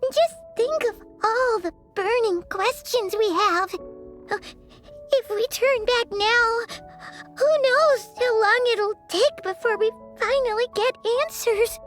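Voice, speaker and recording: Squeaky childlike fairy sidekick, very high-pitched, unhurried, earnest, video game cutscene. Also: female voice, a bit bright, exaggerated voice. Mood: weak, reluctant. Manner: refers to self in third person, earnest. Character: small childlike fairy companion, chirpy, loyal, talks in third person. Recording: music behind.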